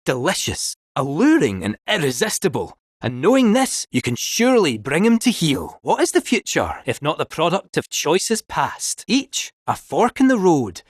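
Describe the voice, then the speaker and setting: An actor playing a theatrical, cheeky robot cat fortune teller with a Scottish accent, in a video game. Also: male voice, on the high side, moderate pace, hardly any pauses, breathy edge, dry recording, studio quality, heavily acted. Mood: mystical. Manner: grand theatrical storytelling, lively swoops.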